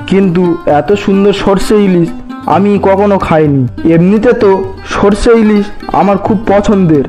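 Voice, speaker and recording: পুরুষের অভিনয়ে চড়া গলার স্নেহশীল বয়স্ক শ্বশুর. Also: male voice, sits high, darkish tone, close to an everyday voice. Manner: affectionate, coaxing, reproving. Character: kindly older father-in-law, appreciative and gently scolding. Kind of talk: Bengali fairy-tale cartoon dub.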